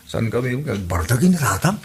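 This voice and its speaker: A man's voice: mid-range voice, big pitch swings, brisk. A man playing a cheeky, wheedling husband who likes his liquor, with an Ilocano Filipino accent in a comedy audio drama. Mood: casual, defiant. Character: cheeky hard-drinking husband coaxing his father-in-law to drink. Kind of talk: comedy radio drama acting.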